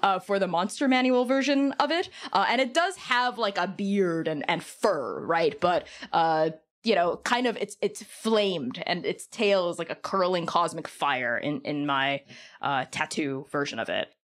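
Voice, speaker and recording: Animated woman chatting informally. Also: on the low side, a little slow. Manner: informal, peppered with "like" and "kind of". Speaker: podcast guest. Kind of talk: casual chat, opinionated explanation.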